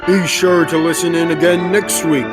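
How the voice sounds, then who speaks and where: A man's voice: on the high side, level tone, moderate pace, close to an everyday voice. Teen boy as a theatrical radio serial announcer. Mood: suspenseful. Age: teenager. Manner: florid, theatrical. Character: dramatic old-time radio serial announcer. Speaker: student actor, narrator. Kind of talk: scripted dramatic narration. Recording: music behind the voice.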